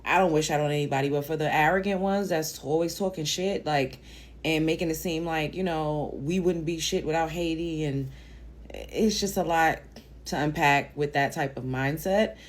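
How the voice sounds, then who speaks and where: A woman's voice: deep voice, moderate pace. A woman with a Brooklyn accent hosting a reaction video, talking bluntly and rambling with fillers. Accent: Brooklyn, New York. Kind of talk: casual commentary monologue.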